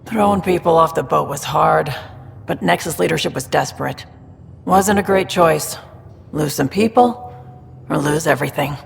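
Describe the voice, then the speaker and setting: Weary colony official for a video game: gravelly, pragmatic, reverberant ambient setting. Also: female voice, low-pitched, moderate pace. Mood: resigned, wry. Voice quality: very creaky, gravelly. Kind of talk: video game character acting. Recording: reverberant room, faint ambient noise.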